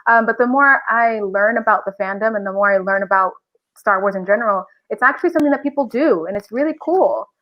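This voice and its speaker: A woman's voice: medium pitch, quick pace, highs cut off. A woman chatting casually and expressively as an enthusiastic voice actress guest on a podcast. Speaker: interview guest, audio drama voice actress.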